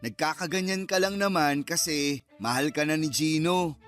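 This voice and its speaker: An actress playing a wisecracking young best friend in a radio drama, slangy and mocking, with a Filipino accent. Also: female voice, low-pitched, a little slow, soft background music. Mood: teasing.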